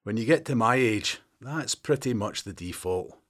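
A man's voice: lowish voice, normal speed. A man doing a wry Scottish detective. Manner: wry, teasing, deadpan. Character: dry-humoured veteran male detective past forty.

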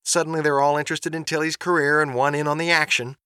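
A man voicing an excitable, chatty, flustered middle-aged mother in an audiobook, gushing and rambling through phone messages. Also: a little high, quick pace, clean tone, no echo, studio quality, voice pushed up. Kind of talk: audiobook character voice.

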